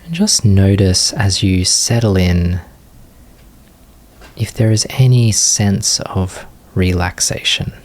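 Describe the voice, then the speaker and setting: Male meditation teacher, low register, very slow delivery, short phrases separated by long pauses. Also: expressive, a little mellow. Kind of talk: guided meditation, unscripted gentle instruction.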